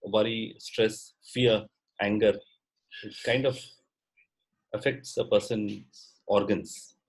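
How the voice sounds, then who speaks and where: A man's voice: lowish voice, fairly even intonation, slow, slightly rough, poor audio. A man from India leading a coaching session on a video call, encouraging, often saying "absolutely" and "you know". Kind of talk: facilitating a group video call.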